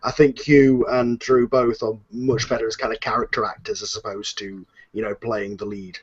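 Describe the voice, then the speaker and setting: A man's voice: on the low side, level tone, normal speed, husky, dull audio. A man hosting a casual panel discussion in a rambling, effusive way. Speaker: host and series producer.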